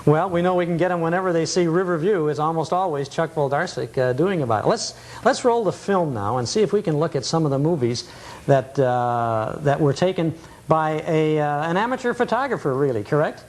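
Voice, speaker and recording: A man with a somewhat high voice hosts a casual interview show. He chats along and reminisces, often chiming in with short interjections. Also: lively intonation, fairly quick, darkish tone. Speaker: host of nostalgia show.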